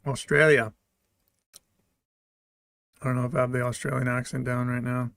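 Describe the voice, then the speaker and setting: A man livestreaming, reading out his chat and answering it in a joking, deadpan way. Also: medium pitch, moderate pace, vocal fry, no room sound, studio quality.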